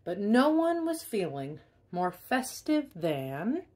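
Woman, slow pace, medium pitch, expressive storybook read-aloud, emphatic key words. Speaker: storybook reader. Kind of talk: expressive children's picture-book read-aloud.

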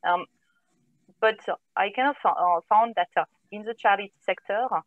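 French woman, 30s, halting and filler-heavy, dry tinny call audio, earphone mic at home. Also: mid-range voice, dry recording. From northern France. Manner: hesitant, many filler sounds. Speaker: guest, company co-founder. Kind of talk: video call interview. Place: home room, indoors. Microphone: wired earphone headset on video call.

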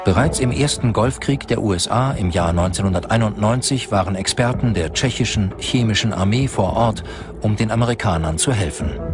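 A man reading scripted documentary narration in a sober, matter-of-fact manner. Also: on the low side.

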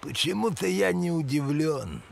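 A man voicing a weary, deadpan, unshockable scruffy harbour pilot in a cartoon. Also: fairly high pitch, vocal fry, a little hiss or hum. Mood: jaded, unimpressed. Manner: deadpan, drawn-out delivery. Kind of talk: cartoon voice acting. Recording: music behind the voice.

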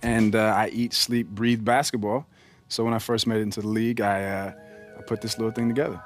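A man's voice: lowish voice, little pitch movement, fairly quick, lightly acted. Man playing casual young basketball star over music. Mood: upbeat. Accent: American. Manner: casual, slangy, friendly banter. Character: young pro basketball star, easygoing and confident. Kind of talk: naturalistic video game cutscene dialogue.

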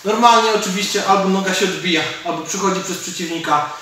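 A man's voice: high-pitched, fast. A man, explaining martial arts techniques informally as an instructor while demonstrating them. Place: large indoor MMA cage gym. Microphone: camera several metres away. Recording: echoey gym, distant mic, some noise.

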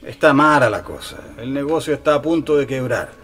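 An actor playing an anxious, downcast married shopkeeper in a radio drama, hesitant and repeating himself. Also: male voice, mid-range voice, fairly quick, a bit bright, lightly acted. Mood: despairing.

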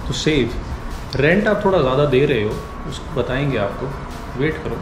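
A man's voice: mid-range voice, animated, moderate pace, echoey room, poor audio. A man in his thirties strolls through an apartment building on a handheld camera, chatting easily in Hindi with English phrases mixed in. His medium voice rings off bare walls in empty rooms, with music playing underneath. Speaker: vlogger giving a tour. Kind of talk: casual Hindi-English tour narration. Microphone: handheld action camera, wide angle.

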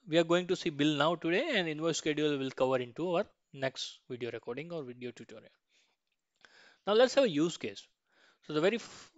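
A man presents an instructional slide tutorial in a fairly high, smooth voice. He explains point by point and often adds little checking tags. The sound is close and dry, though slightly dull. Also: no echo. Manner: instructional, frequent checking tags like "right?" and "okay".

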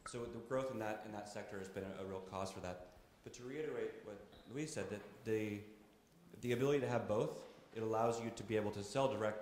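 A man with a lowish voice talks through a panel discussion, steady and a little halting with fillers. The sound carries the echo of a large room, with faint ambience underneath. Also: a little hiss or hum. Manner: measured, frequent fillers and restarts. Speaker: coffee trader on a panel. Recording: echoey conference hall.

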